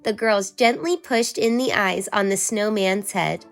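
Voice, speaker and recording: A woman reading a children's bedtime story aloud in a gentle, expressive way. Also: medium pitch, unhurried, faint music behind. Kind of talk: bedtime read-aloud narration.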